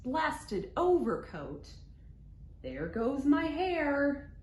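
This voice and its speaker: In a roomy, echoing recording comes a sour old man from a picture book, acted out by a woman reading aloud. He grumbles slowly and curtly, brushing everyone off. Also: high voice, big pitch swings, a little mellow, pitched above the performer's own voice, full character voice. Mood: grumpy, sour. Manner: curt, grumbling, dismissive. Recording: roomy echo, background noise.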